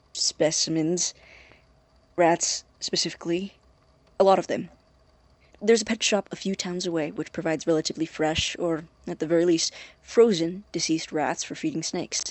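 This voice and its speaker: A halting, secretive young scientist. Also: male voice, sits high, steady tone, dry recording. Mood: conflicted, driven. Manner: halting, deliberate, formal wording. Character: secretive, obsessive young male scientist recording experiment logs. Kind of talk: dramatic audio-diary monologue.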